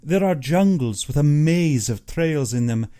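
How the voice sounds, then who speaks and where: A man acts out an older aristocratic general in an audiobook, urbane and boastful. The voice is mellow and swings widely in pitch, with slow, precise, pompous phrasing. He is a cold-blooded hunter who savours his own importance. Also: animated, clear, resonant tone. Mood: smug, relishing. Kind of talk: audiobook character acting.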